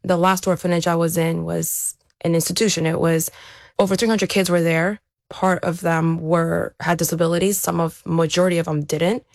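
Low, creaky-voiced woman speaking reflectively. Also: flat delivery, normal speed, dry recording, clean studio sound. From born in Ukraine, raised there to age seven, then America. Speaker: interview guest, elite athlete. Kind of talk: reflective personal account in conversation.

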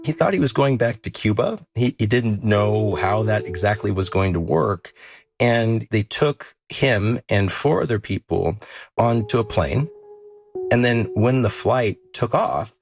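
A man reporting a story on a news podcast, explaining it in a measured, storytelling way. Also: on the low side, animated, vocal fry.

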